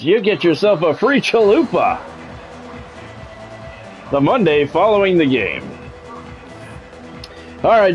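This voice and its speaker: Higher-pitched man calling a game. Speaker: game streamer doing play-by-play. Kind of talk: sports commentary mixed with casual chat with viewers.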